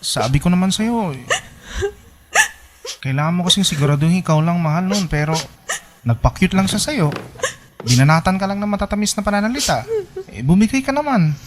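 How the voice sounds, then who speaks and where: A man's voice: sits high, expressive, fairly quick, long pauses, background noise. An actor playing a lovelorn young man in a Filipino radio drama, earnest and colloquial, with a Filipino accent. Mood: exasperated concern. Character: lovelorn young man pining for his best friend. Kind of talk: sentimental Filipino radio drama, narration and dialogue.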